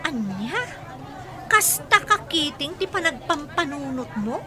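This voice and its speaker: A woman's voice: high voice, very animated, measured pace, a crowd in the background, noisy background. A woman with an Ilocano Filipino accent playing a frank confidante who advises her troubled friend in a radio drama. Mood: questioning.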